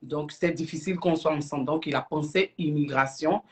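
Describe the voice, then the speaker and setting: A middle-aged woman with an Ivorian accent, speaking earnestly and deliberately as a guest in a livestream interview. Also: deep voice. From Ivory Coast. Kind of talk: explanatory interview talk on a livestream.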